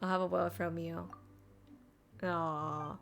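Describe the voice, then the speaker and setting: A woman game streamer reacting casually to a playthrough, playful and expressive, half talking to herself. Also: on the low side, slow pace, vocal fry, faint music behind.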